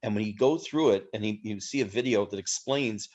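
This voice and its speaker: A man's voice: lowish voice, brisk, darkish tone, lo-fi sound. A man hosting and teaching a Torah class, talking casually and thanking his guest effusively with many fillers.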